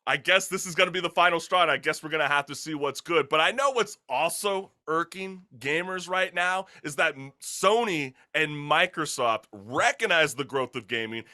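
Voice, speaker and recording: A man's voice: fairly high pitch, lively intonation, normal speed, hardly any pauses, bright, forceful tone. A man hosting a talk show in an opinionated, conversational way, asking emphatic rhetorical questions with casual slang and mild swearing.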